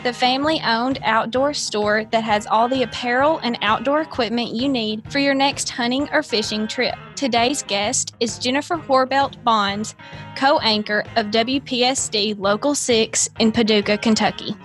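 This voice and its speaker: A woman's voice: on the high side, normal speed, fairly bright tone, soft background music. A woman announcing a sponsor message in an upbeat, scripted delivery.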